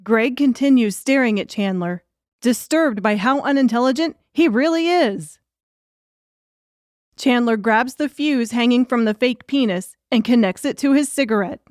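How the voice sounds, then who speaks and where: Female audiobook narrator, scripted fiction reading, moderately high register. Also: normal speed, darkish tone, no echo, clean studio sound.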